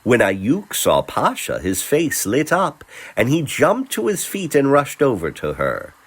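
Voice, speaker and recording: A man reading a scripted story as narrator, theatrical and deliberate, with dramatic pauses between phrases. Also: medium pitch, huge pitch swings, breathy edge. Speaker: narrator, voice performer.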